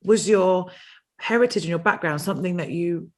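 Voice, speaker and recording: A woman's voice: fairly low pitch, normal speed. A woman hosting a panel discussion, leading into her questions with long, winding setups. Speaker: panel host.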